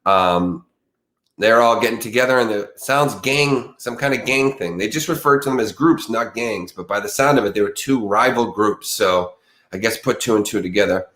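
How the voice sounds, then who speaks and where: A man's voice: lowish voice, moderate pace, fairly bright tone, echoey room. A man hosting a casual true crime livestream, rambling with many fillers and restarts, a little congested from allergies. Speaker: true crime channel host. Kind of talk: casual livestream chat with viewers.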